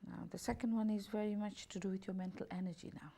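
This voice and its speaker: A woman with a gravelly, mellow voice reads a questionnaire aloud. She takes each lettered option in turn at an unhurried pace. Her grammar is slightly off now and then, like a second-language speaker. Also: medium pitch, steady tone. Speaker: holistic health and beauty therapist. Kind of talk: reading out a questionnaire.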